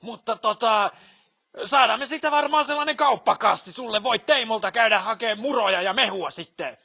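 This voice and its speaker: Man acting as scornful male mechanic, video game, crude, boastful, piercing, gravelly rasp. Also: high-pitched, bright, forceful tone, exaggerated voice. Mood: sneering, boastful. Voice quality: raspy, creaky. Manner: slangy, scornful, rambling. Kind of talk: video game character dialogue.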